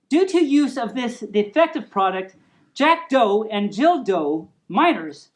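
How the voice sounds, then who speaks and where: A woman's voice: a little high, rough recording. A woman hosting a storytime, reading aloud in a playful, mock-serious manner with chatty asides and stumbles over long words. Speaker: storytime show host.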